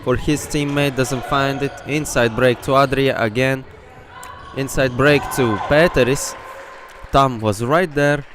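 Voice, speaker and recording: Latvian-accented guy calling a game live, mid pitch, gets shouty on big plays, faint hall noise behind. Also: a little slow, a crowd in the background. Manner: excitable, openly partisan, bursts into shouts on big plays. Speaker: sports commentator. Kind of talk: live play-by-play commentary. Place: indoor sports hall.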